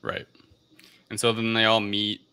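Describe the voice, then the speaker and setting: Male podcast co-host, very low gravelly voice, casual discussion, halting phrasing. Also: completely flat delivery, sharp tone. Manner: hesitant, halting delivery, searching for words. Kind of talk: casual film review discussion.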